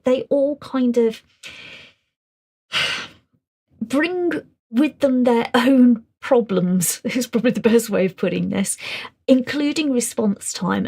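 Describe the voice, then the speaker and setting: A middle-aged woman presenting a webinar lesson, talking rapidly in a calm, fairly quiet voice. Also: fairly high pitch, gravelly, husky. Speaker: webinar presenter on special educational needs. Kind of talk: webinar lecture.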